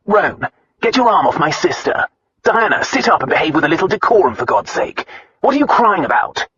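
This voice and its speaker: An impatient nobleman, played by a male narrator, barks commands and drawls with dry sarcasm. The husky voice is close and dry, with a slightly dulled top end. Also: a little high, normal speed, fairly bright tone, no echo. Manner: barking orders, drawling. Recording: slightly muffled dry recording.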